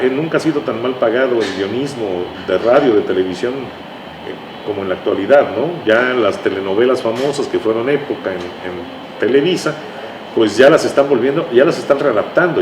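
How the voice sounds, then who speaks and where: Older male, Mexican accent, mid-pitched, conversational interview guest with halting explanations; roomy, noisy recording. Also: animated. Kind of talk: conversational reminiscing. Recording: echoey room with clear background noise.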